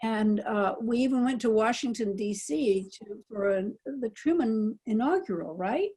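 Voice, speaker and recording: A woman's voice: fairly high pitch, expressive, moderate pace, mellow. An older woman, a panel guest, casually reminiscing about her past. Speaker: panel guest, former child radio performer.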